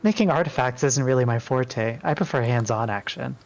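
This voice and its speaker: A man voicing a polite, gently wry female deity, playful and mildly exasperated. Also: mid-range voice, a little fast, highs cut off, natural voice. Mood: modest.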